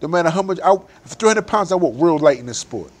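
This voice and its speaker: A man's voice: on the high side, lively intonation, fast talker. A young adult man, a boxer, talking animatedly and emphatically in a casual interview, laughing often.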